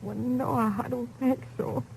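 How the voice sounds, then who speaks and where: An actress playing a grieving, bewildered small-town widow, tearful and halting, with folksy speech, in a 1950s radio drama. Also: female voice, on the high side, fairly even intonation, gravelly, heavy background noise. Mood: shaken, hesitant. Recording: old 1950s radio recording.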